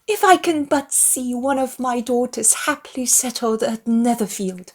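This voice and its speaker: A woman's voice: a little high, a little slow, a little mellow, pitched above the performer's own voice. A woman voicing a hopeful, matchmaking middle-aged mother with eager, theatrical delivery in a dramatized audiobook.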